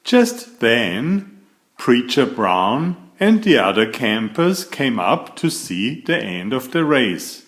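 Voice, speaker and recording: Slow, expressive man reading a storybook. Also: medium pitch. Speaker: picture book reader. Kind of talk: children's story read aloud.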